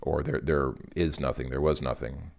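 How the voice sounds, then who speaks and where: Man, very low voice, casual lesson talk, lots of ums and corrections. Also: steady tone, moderate pace, creaky, darkish tone. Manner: thinks aloud, many fillers and self-corrections. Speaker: language teacher. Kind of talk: casual recorded lesson explanation.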